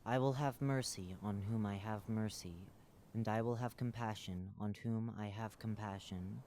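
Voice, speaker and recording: A man's voice: lowish voice, moderate pace, a little mellow, natural voice. A man voicing a solemn, unseen divine master who pronounces judgment in grave scriptural words. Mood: stern judgment.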